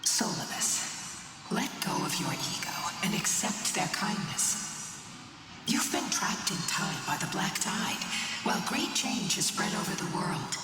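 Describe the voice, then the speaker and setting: An actress playing a calm, wise, authoritative lady guide in a fantasy game, with composed, formal speech. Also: fairly low pitch, unhurried. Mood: admonishing. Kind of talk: scripted fantasy game acting. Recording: very echoey hall, distant, music behind.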